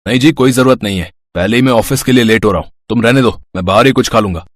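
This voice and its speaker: A man's voice: mid-range voice, quick pace, no echo, studio quality, close to an everyday voice. A man voicing a fussy, grumbling family man in a moral story cartoon. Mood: irritated.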